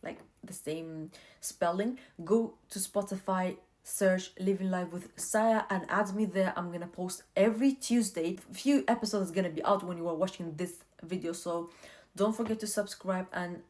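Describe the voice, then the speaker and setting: A woman's voice: on the low side, moderate pace, a little mellow. Chatty earnest woman vlogger, non-native English. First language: not English. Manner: chatty, earnest, advice-giving, repeats herself for emphasis. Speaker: vlogger giving life advice. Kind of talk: casual talk to camera.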